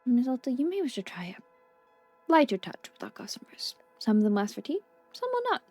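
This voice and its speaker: A woman voicing a gentle, unhurried male tea shop keeper from a video game, soft-spoken and politely formal in quaint phrasing. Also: high-pitched, wildly swooping pitch, slightly rough, soft background music, full character voice. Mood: gently chiding. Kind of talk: video game dialogue read aloud.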